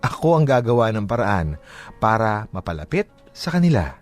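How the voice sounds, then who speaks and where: Low-voiced man reading over music. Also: big pitch swings, normal speed. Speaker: radio show letter reader. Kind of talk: scripted letter narration. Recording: clear music bed under voice.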